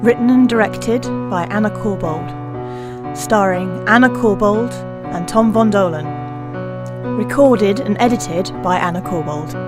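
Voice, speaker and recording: A woman's voice: mid-range voice, measured pace. A woman reading scripted credits as an announcer, with guitar and piano music behind her. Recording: voice over prominent music.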